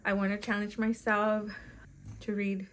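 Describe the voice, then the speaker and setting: A woman's voice: mid-range voice, flat delivery, unhurried. A young woman whose first language is Spanish, chatting casually to camera as a book vlogger, rambling and correcting herself as she goes.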